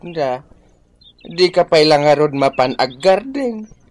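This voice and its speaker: A man's voice: a little high, big pitch swings, slow. A man with an Ilocano Filipino accent acting a kindly, grumbling old village man in a radio drama. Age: older.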